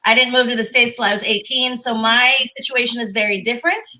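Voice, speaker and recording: A woman from Mexico speaks as a panelist on a casual talk show. Her voice is a little high and clearly bright as she tells personal stories. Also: quick pace, poor audio. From Mexico, born in the Philippines. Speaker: podcast panelist.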